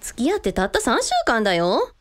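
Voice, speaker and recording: A woman's voice: on the high side, wildly swooping pitch, brisk. A woman playing an easygoing eldest sister who puts on a brave face, in a radio drama. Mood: wistful.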